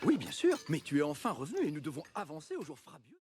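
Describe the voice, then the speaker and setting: An actor playing a whimsical, petulant, mad tea-party host with a theatrical, erratic lilt, amid noisy clinking. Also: male voice, fairly high pitch, wildly swooping pitch, fast. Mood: whimsical, manic.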